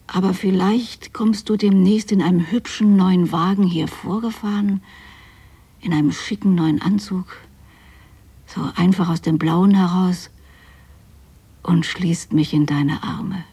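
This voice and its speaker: A lonely older mother in a literary radio play. Her voice is dark, husky and full of creak, and she speaks in an intimate, brooding, yearning way. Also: lowish voice, fairly even intonation, unhurried, heavily acted. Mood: wistful longing. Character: lonely, yearning middle-aged or older mother of grown children. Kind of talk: literary radio drama monologue.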